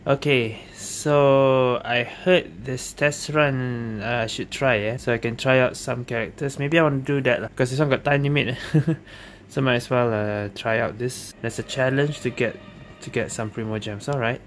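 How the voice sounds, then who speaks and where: A man's voice: mid-range voice, steady tone, moderate pace, a little hiss or hum. A man giving casual gameplay commentary as a gaming host, dropping colloquial tags like lah and eh. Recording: game music behind the voice. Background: video game music.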